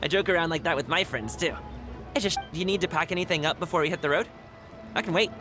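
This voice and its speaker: A man's voice: a little high, quick pace, natural voice. An actor playing a cheerful, generous young male guard in a video game, who laughs often and talks in an easygoing way. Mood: friendly. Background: game music.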